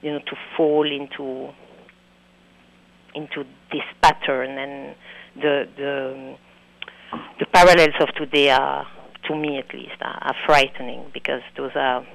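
A woman from Belgium with a French accent, a documentary filmmaker answering interview questions in an earnest, halting way that repeats words. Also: a bass voice, level tone, creaky, dry recording, rough recording.

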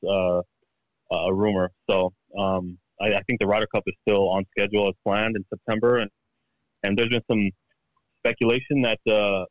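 A man's voice: on the low side, dry recording, poor audio. A man in his thirties, a professional golfer giving a casual interview, who halts and repeats words as he searches for them. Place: at home.

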